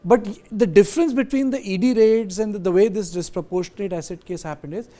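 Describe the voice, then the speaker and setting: A man with an Indian accent giving political analysis as a journalist panelist, halting and emphatic, repeating phrases. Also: high voice, warm tone.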